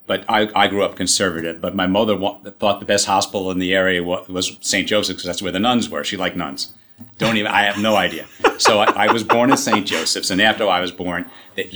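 Deep-voiced New man in his 60s. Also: pushed and bright. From New York, USA. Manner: halting, many restarts, wry humor. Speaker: guest, company CEO. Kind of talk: casual interview talk.

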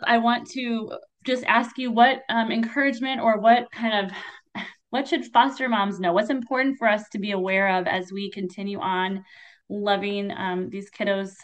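A woman's voice: mid-range voice, a bit bright. A woman hosting a podcast interview who is also a foster mom, talking in an effusive, affirming way with many fillers. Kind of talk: warm-hearted interview hosting.

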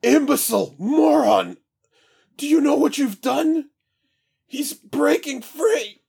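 A man's voice: very high voice, lively intonation, takes it slowly, gravelly, a little mellow, voice pushed up, heavily acted. A man voicing a tormented female demon god who screams in agony and sobs out pleas for help. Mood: furious, screaming. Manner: shrieking, sobbing pleas.

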